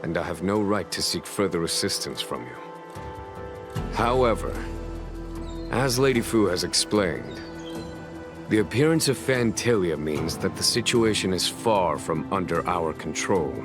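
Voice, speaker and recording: A low-pitched, composed general from a video game, commanding yet gravely courteous. His delivery is formal and measured, with music playing clearly beneath the voice. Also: animated. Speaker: voice actor in a game cutscene. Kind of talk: scripted game dialogue.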